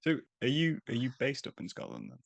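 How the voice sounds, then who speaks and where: A man's voice: medium pitch, huge pitch swings, a little fast, vocal fry, no room sound. A man hosting a podcast with a nasal voice, chatting in an easygoing, self-deprecating way over a video call. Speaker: podcast host. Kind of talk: casual interview chat.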